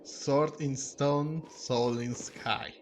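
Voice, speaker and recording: A man's voice: medium pitch, fairly even intonation, drawn-out words, music in the background. A man hosting a gaming video, giving casual, hesitant play-along commentary and often repeating himself.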